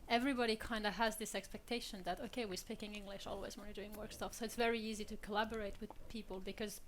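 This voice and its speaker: A woman's voice: medium pitch, steady tone, quick pace, a little hiss or hum. A young woman from Switzerland speaking candidly on a panel, laughing often between points. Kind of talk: panel discussion.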